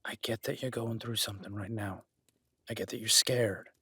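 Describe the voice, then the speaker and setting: A man's voice: on the low side, steady tone, moderate pace, breathy edge, dry recording, studio quality, full character voice. A man playing a teasing, protective woodland cabin host, speaking intimately in an audio roleplay. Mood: alarmed, soothing. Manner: teasing, soft-spoken, intimate.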